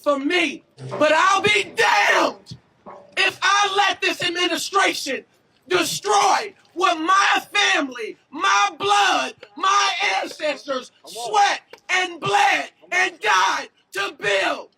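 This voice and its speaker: High-voiced woman shouting from afar. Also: takes it slowly, creaky, recorded from a distance. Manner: shouting, impassioned.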